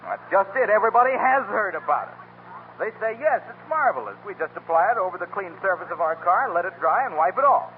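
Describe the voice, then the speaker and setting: An actor playing an eager, earnest salesman with smooth patter in a 1930s radio comedy. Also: sits high, big pitch swings, fast talker. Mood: earnest, fretful. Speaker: announcer pitching car polish. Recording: muffled 1930s radio broadcast, faint noise.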